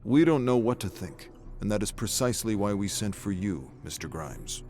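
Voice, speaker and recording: A man voicing a formal, secretive company officer in a dramatic story, speaking politely and with careful, measured phrasing. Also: fairly low pitch, lively intonation, darkish tone, faint music behind, natural voice. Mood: secretive, grave.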